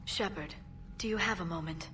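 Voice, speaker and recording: Lady as composed alien info broker in a game, lowish voice, careful and discreet, soft music behind. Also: moderate pace, lightly acted. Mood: composed, concerned. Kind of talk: video game voice acting.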